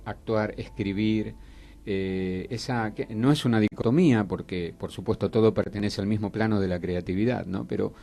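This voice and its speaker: A man's voice: fairly low pitch, moderate pace, gravelly, warm tone. A man with an Argentine Spanish accent hosting a conversational arts interview on radio, attentive and often checking in with short questions. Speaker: radio host interviewing guests.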